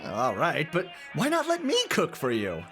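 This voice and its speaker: A man playing an eccentric, proud amateur inventor in an audio drama, speaking grandly with dramatic pauses. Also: fairly high pitch, wildly swooping pitch, a bit bright, heavily acted. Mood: wry. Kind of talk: indie audio drama acting. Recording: music under the voice. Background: music.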